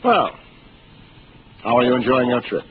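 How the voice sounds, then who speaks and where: An actor playing a calm, seasoned starship captain who speaks in a measured, authoritative way, in a 1950s radio drama. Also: male voice, mid-range voice, natural voice. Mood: explaining. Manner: measured, authoritative, explaining patiently. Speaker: ship captain. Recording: hissy narrow 1950s radio broadcast.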